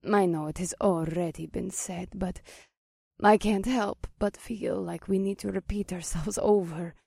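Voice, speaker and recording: A woman's voice: lowish voice, fairly even intonation, a little slow, gravelly, dark tone, slightly rough, no echo, clean studio sound, full character voice. A woman voicing a meek, apologetic witch in a fantasy audiobook, pleading and deferential to her master. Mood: regretful, devoted. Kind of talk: audiobook character dialogue.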